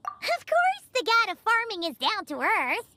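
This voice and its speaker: A woman voicing a tiny, excitable, childlike girl in a video game, naive and cheeky. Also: unhurried, noisy background, exaggerated voice. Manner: squeaky, childish, hesitant then blurting. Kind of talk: cartoonish game voice acting.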